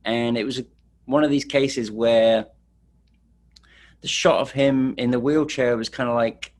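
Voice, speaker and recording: A man's voice: medium pitch, steady tone, unhurried, a little mellow. A middle-aged man from England, casually telling stories as a street photographer, rambling with fillers. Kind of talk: casual presentation.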